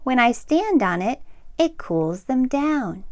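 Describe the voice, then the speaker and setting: A woman's voice: high-pitched, big pitch swings, slow pace, a little mellow, pitched above the performer's own voice, heavily acted. A woman voicing a busy, proud, earnest little male dung beetle in a children's storybook, eagerly explaining his job. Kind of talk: children's storybook read-aloud.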